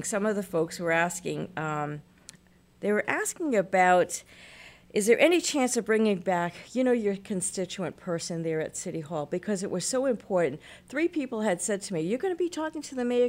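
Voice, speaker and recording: A woman hosting a local radio talk show, a bit hoarse from illness, chatting in a rambling way with many fillers. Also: mid-range voice.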